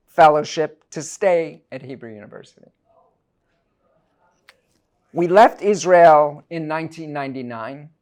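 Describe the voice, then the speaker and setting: Slow-paced academic man, middle-aged. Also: a little high, darkish tone. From South Africa. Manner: measured, deliberate, scholarly phrasing. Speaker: university professor and author. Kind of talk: prepared academic lecture, read aloud.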